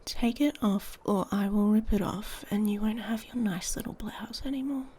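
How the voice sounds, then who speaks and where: A woman playing a haughty, domineering female werewolf in an intimate ASMR roleplay, mocking and commanding, often dropping into a breathy whisper. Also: medium pitch, normal speed, mellow, a little hiss or hum, lightly acted. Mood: teasing, commanding. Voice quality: husky, breathy.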